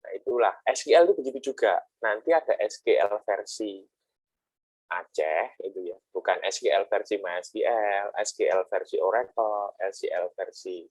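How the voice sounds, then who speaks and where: A middle-aged male lecturer with a lowish, slightly husky voice, recorded close and dry with almost no room sound. He explains in a chatty, conversational way, full of rhetorical asides and everyday comparisons. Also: normal speed, darkish tone, no room sound, rough recording. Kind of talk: classroom lecture.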